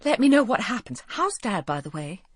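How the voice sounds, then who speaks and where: A woman reader puts on a young single mother in an audiobook, pitched up and eager, a little harried. It is recorded dry and close. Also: very animated, normal speed, breathy edge, no room sound. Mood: apologetic.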